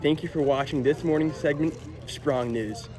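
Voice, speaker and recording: A man's voice: a little high, moderate pace. A young man acting as anchor of an amateur news segment, using a formal delivery.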